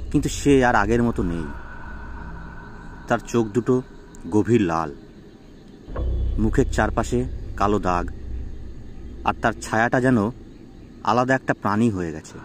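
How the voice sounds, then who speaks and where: A man's voice: on the low side, measured pace, pauses often, a little hiss or hum. A man narrating a suspense horror story in a dramatic storytelling style. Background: background music.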